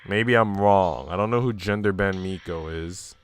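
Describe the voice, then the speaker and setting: A man's voice: low-pitched, moderate pace, clear, resonant tone, faint music behind. A man live streaming in an informal, slangy way, moving between casual chat and reading aloud.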